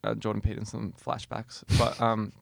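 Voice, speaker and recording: A man's voice: lowish voice, monotone, normal speed, gravelly, dry recording. A man of twenty, hosting a casual radio show, rambling and self-mocking, laughing often. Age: 20s. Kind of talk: casual chat. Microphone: studio mic, moves back and forth from it.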